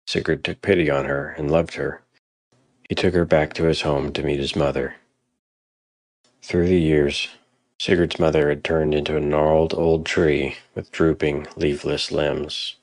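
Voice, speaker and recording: Male drama narrator: solemn, very low, even-toned, measured storytelling. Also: vocal fry, no room sound.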